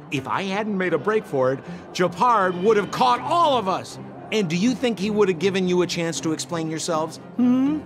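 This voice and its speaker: A man's voice: sits high, a bit bright. A man voicing a shifty, glib young con man in a video game, theatrical and full of exaggerated salesman patter. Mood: defensive, pleading.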